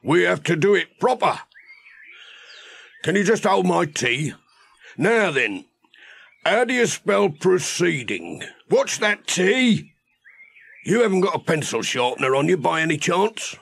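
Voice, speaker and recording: A man acts out a bumbling village policeman for a children's story. The voice is pitched high and swoops about, slightly husky, with a self-important, officious manner. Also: sing-song, a bit bright, no room sound, exaggerated voice. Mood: muddled, chatty. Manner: slow, officious, putting on a special policeman's voice. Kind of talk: children's audiobook character reading.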